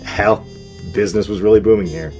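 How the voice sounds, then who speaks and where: An actor playing a gruff, world-weary New York speakeasy barkeep in a 1920s gangster radio drama, tough-talking in period slang. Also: male voice, fairly low pitch, steady tone, brisk, mellow, soft background music, lightly acted. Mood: wistful, regretful.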